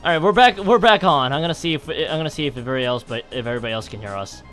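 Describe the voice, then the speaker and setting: A man's voice: fairly high pitch, animated, very fast, a bit bright, faint music behind. A man commentating on a game stream and reading game text aloud in a rambling way, often stumbling and correcting himself. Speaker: live streamer.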